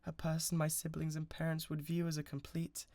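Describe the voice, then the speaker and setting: A man's voice: a little high, completely flat delivery, brisk, no room sound, natural voice. A British man playing an obsessive, bratty young livestreamer in a dramatised roleplay, talking intimately and needily to the listener with an undercurrent of menace. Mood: bitter, confiding. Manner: intimate, pleading, swinging between hushed and insistent.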